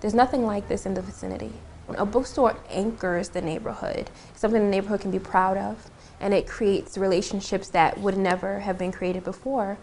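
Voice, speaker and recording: A woman's voice: medium pitch, fairly even intonation, fairly quick, darkish tone, slight background noise. A woman in her thirties, a bookstore owner, talking earnestly in an interview, with frequent "um" and "you know". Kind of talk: on-camera interview. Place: small indoor bookstore.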